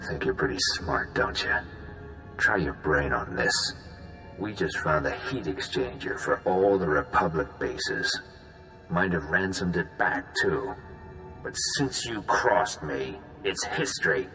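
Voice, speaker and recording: An actor playing a smug, vain and menacing pirate captain in a video game, with a boastful, mocking manner. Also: male voice, rumbling low, lively intonation, measured pace, sharp tone, long reverb tail, faint music behind, heavily acted, rough recording. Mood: vengeful gloating. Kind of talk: video game cutscene acting.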